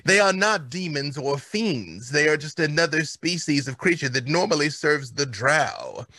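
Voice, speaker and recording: Pompous, bright-voiced man with lively pitch. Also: normal speed, dry recording. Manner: pompous, boastful, formal diction. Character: boastful, grandiose male fantasy hero and scribe. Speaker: talk show panellist performing in character. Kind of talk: in-character improvised banter about a fantasy game.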